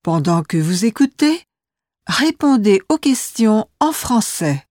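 A woman's voice: mid-range voice, big pitch swings, takes it slowly, darkish tone, no room sound, clean studio sound. A woman reading a scripted listening exam as interviewer and narrator, enunciating carefully.

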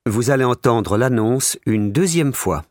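A man reading scripted exam instructions as an announcer, in a formal, deliberate manner. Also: medium pitch, lively intonation, darkish tone, no echo, studio quality.

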